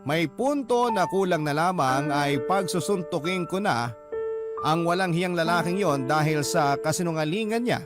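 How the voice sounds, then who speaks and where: A man's voice: on the high side, expressive, moderate pace. A man reading a scripted first-person letter as the narrator of a radio drama. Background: clear background music.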